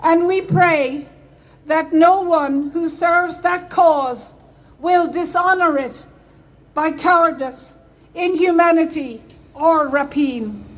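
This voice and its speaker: A woman with an Irish accent giving a formal, solemn public reading in a deliberate manner. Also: very high-pitched, low-quality recording. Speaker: speaker at a commemoration.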